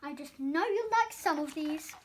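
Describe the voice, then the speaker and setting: Woman reading as a kindly, high-voiced storybook man. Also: expressive, moderate pace, a little hiss or hum. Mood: hopeful. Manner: gentle, reassuring. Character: kindly, practical man who befriends a doughnut. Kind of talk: storybook read-aloud character voice.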